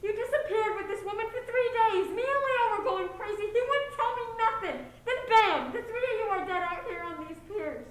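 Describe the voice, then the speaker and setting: A woman's voice: extremely high pitch, lively intonation, brisk, hardly any pauses. A young woman acting a grieving, determined detective's secretary in a school stage play, with plain-spoken noir dialogue. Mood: anguished, accusing. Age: teenager. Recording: very echoey stage, faint noise.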